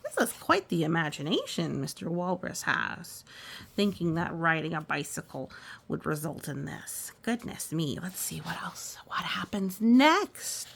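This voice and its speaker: A woman's voice: on the low side, big pitch swings, a little slow, gravelly, a bit bright, husky. A woman reading a story aloud, with reassuring, musing, chatty asides as if to children. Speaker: storytime reader.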